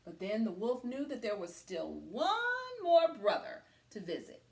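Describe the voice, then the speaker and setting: A woman teacher reading a story aloud to young children, with dramatic, deliberate phrasing and hushed asides. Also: mid-range voice, very animated, creaky, roomy sound.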